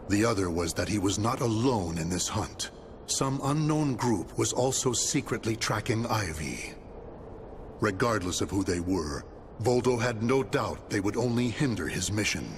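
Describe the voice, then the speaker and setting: Male narrator, lowish pitch, very varied intonation, solemn storytelling, dramatic game script, faint noise.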